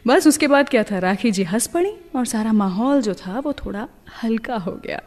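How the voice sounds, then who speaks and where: A woman's voice: a little high, lively intonation, moderate pace, darkish tone, long reverb tail. A woman hosting a radio show, telling chatty anecdotes in a scripted style.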